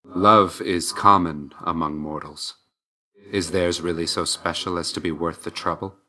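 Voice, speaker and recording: A man voicing a stern, reserved and dutiful god of death in a video game, speaking in a formal, measured way. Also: low voice, steady tone, pushed and bright, heavily acted. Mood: wry, stern. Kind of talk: video game character voice lines.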